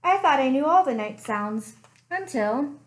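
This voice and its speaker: A young woman reading a picture book aloud for children, slow and expressive with playful sound effects, recorded on a webcam in a small room at home. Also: high-pitched. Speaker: children's librarian. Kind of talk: storytime read-aloud.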